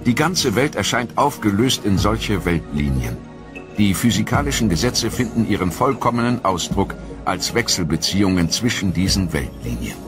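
An actor playing an earnest early twentieth-century mathematician, giving a formal, solemn and measured lecture. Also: male voice, lowish voice, animated, over music, lightly acted. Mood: solemn, declarative.

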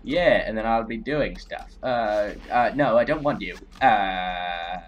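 A man's voice: lowish voice, expressive, slow pace, muffled recording. A young man in casual let's play chatter, jumpy and cheeky as he grumbles at the game. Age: young adult. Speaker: guest gamer.

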